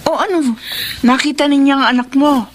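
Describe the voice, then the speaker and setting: A woman's voice: high voice, fairly even intonation, normal speed, background noise, close to an everyday voice. A Filipino woman acting a feisty, protective cousin in a radio drama, blunt and confrontational. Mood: indignant.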